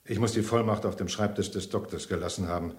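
An actor as a scheming man in a radio crime play. His voice sits low in an echoing room. He speaks in a measured, hesitant way and gets curt and insistent when doubted. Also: a little mellow, natural voice. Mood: pressed, irritated. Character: scheming, impatient man talking a suspicious woman round.

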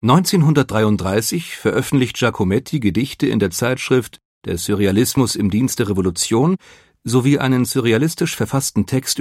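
A man reading scripted biographical narration as a documentary narrator, measured and factual. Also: on the low side, no echo, clean studio sound.

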